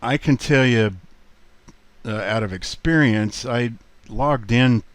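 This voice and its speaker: A man giving a casual screen walkthrough in a halting, unhurried way, as a video presenter. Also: mid-range voice, dry recording.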